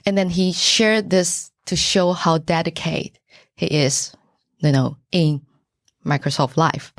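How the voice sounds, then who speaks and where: A middle-aged woman from Taiwan whose first language is Mandarin, with a Taiwanese accent, answering podcast questions earnestly and pausing to search for words. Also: lowish voice, steady tone, dry recording, clean studio sound. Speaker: buyer's agent, podcast guest. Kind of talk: casual interview answers.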